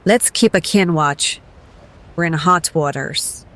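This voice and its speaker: A woman's voice: sits low, slow pace, a bit bright. An actress playing a cautious, level-headed woman survivor in an audio drama, serious and formally worded. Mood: urgent caution.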